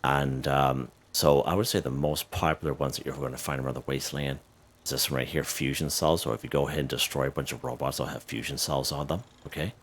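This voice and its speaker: A man hosting a casual game walkthrough, chatty and a little hoarse, who keeps checking in with "okay?" and "right?". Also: a bass voice, steady tone, quick pace, a bit bright. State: slightly hoarse. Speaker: gaming video host.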